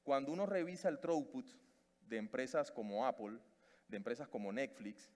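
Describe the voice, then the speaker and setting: A man's voice: mid-range voice, expressive, moderate pace, a little mellow. Cuban man lecturing in a big hall. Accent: Cuban Spanish. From Cuba. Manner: hesitant, many fillers and restarts. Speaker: conference speaker. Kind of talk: conference talk. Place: conference stage in a large hall. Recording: long reverb of a large hall.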